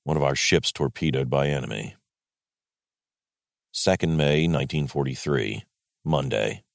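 A man with an American accent reading as a wartime army general, in a clipped, matter-of-fact way with a gravelly voice. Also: a bass voice, unhurried, dry recording, studio quality, full character voice. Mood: terse, composed. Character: male US Army general writing a terse wartime diary. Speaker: actor reading a wartime diary. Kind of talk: dramatic diary reading.

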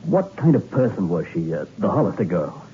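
A haunted, insistent young man in a vintage radio thriller. His halting speech rises and falls sharply, through thin, phone-like sound with clear noise. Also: low voice, very animated, warm tone. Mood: hesitant, probing. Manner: halting, stammering. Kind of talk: 1960s radio drama acting. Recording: tinny, noisy old radio recording.

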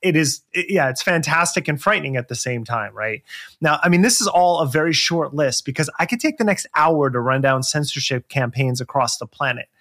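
A man with a somewhat high-pitched voice co-hosts a discussion podcast. He presents prepared points, then slips into conversational asides to his fellow host. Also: brisk, fairly bright tone. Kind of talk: podcast discussion, part scripted.

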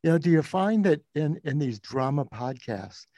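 A man's voice: on the high side, expressive, moderate pace, mellow, no echo. A man hosting an interview podcast, putting questions in a conversational, reflective way.

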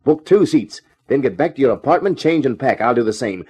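An actor playing a flirtatious, hard-boiled private detective in a 1950s radio drama, with a slangy, wry, breezy delivery. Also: male voice, mid-range voice, lively intonation, a little fast, warm tone, husky. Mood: excited, urgent. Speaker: actor in the lead part of a detective radio serial. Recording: 1950s radio drama recording, music behind.

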